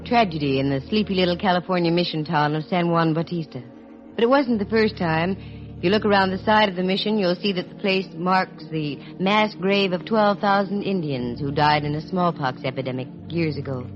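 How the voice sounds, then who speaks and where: An actress playing a sharp, composed female detective who narrates in a brisk, matter-of-fact way, in 1940s radio acting style. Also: fairly low pitch, close to an everyday voice. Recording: dull lo-fi vintage radio recording, faint music.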